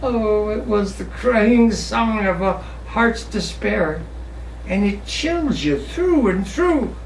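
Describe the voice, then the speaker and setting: A man with a high voice performs a dramatic ballad recitation. His delivery is slow and theatrical, with pauses for effect. It is picked up from a distance in a roomy space. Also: vocal fry. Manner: theatrical, drawn-out, expressive storytelling with pauses. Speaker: poetry reciter. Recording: roomy, distant mic with faint noise.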